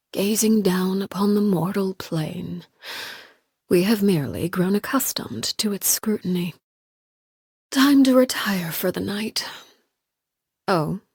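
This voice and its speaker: An actress playing a cold, composed, menacing female commander in a video game, speaking in a measured, formal, politely threatening way with a breathy voice. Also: medium pitch, darkish tone, no echo, clean studio sound, full character voice. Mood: calm, composed, then dryly amused. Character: cold, composed, menacing woman commander called Father by orphans. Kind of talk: scripted video game character voice lines.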